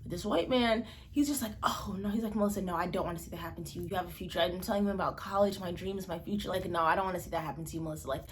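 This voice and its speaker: A woman's voice: racing, hardly any pauses, a little hiss or hum. A woman in her twenties from Malawi, telling a story in a casual, chatty vlog and acting out the quoted lines. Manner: chatty, expressive, acts out quotes with pitch jumps. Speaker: vlogger.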